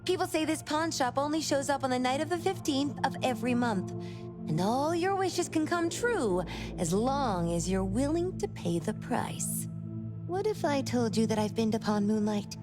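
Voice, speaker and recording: Dramatic shut-in manga artist, music behind. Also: female voice, high-pitched, lively intonation, moderate pace. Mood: animated storytelling. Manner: dramatic, exclamatory. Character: excitable reclusive woman manga artist, dramatic, self-mocking. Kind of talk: video game voice acting.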